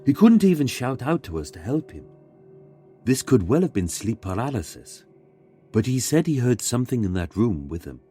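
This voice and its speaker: A man reading a scripted ghost story in a measured, dramatic way, pausing for suspense. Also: mid-range voice, very animated, mellow, breathy edge, soft background music. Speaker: guest narrator reading a submitted ghost story.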